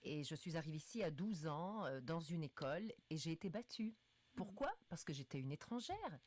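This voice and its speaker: A middle-aged woman talks as a panelist on a radio show. Her voice is very low for a woman, and her delivery is conversational. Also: very animated, moderate pace, darkish tone, no echo. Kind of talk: conversational discussion. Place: radio studio.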